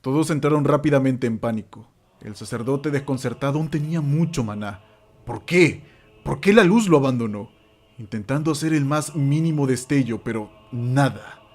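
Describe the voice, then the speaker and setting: A man narrating a horror radio drama from a script, theatrical and suspenseful, with dramatic pauses. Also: mid-range voice, a little mellow.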